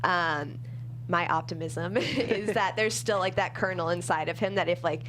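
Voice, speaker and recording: Slightly low-voiced woman, casual and chatty. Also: normal speed, a bit bright, slight background noise. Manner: self-deprecating, chatty, says "like" constantly. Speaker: screenwriter being interviewed. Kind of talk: casual interview chat.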